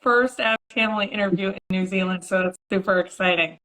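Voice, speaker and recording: A woman hosting an interview series, upbeat and brisk as she asks her guest questions. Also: medium pitch, pushed and bright, low-quality recording. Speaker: podcast host.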